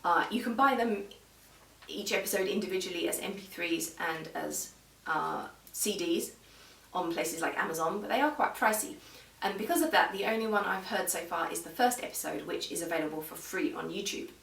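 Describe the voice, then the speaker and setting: A woman giving an informal, measured review straight to viewers, explaining things as she goes. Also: fairly low pitch, vocal fry, a bit bright. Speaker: video reviewer. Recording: roomy echo, distant microphone.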